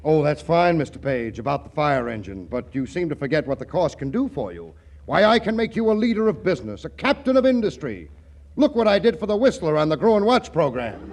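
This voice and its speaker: A man's voice: a little high, big pitch swings, a little fast, darkish tone. An actor playing a slick, glib, take-charge male promoter in a 1940s radio comedy. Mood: smooth persuasion. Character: slick, persuasive big-city promoter and school boss.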